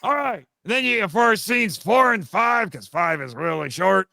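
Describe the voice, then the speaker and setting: A man with a high, bright voice trades casual banter while explaining trivia. He is playfully condescending and needles his listeners. There is a creaky edge to the voice, and the sound is close and dry. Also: animated, unhurried, dry recording. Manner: teasing, mock-condescending. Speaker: podcast guest, fan audio drama writer and voice actor.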